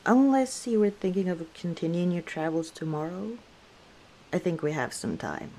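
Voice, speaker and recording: A woman playing an easygoing, teasing female orc tavern owner in an unhurried ASMR roleplay. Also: on the low side, animated, warm tone, a little hiss or hum, rough recording. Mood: playful. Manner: unhurried, playful teasing with frequent chuckles. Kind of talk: ASMR roleplay, one side of a conversation.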